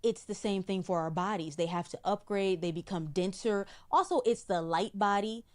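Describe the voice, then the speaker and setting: A middle-aged woman, a psychic guest in an interview, explaining in a measured way and often repeating a word while she finds the next. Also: medium pitch. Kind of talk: spiritual interview talk.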